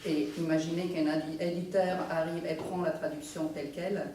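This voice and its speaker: A woman's voice: low voice, little pitch movement, fairly quick, dark tone. A woman from Croatia, a native Croatian speaker, talking as a translator on a panel, often repeating words as she searches. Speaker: round-table panelist, literary translator. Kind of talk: spontaneous round-table discussion. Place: reverberant room at a round-table event. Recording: very echoey room, distant mic, faint noise.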